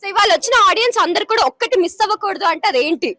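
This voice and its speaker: A woman's voice: very high voice, animated, rapid-fire, bright, forceful tone, no room sound. A woman doing an upbeat event interview in an enthusiastic, gushing manner. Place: busy expo event.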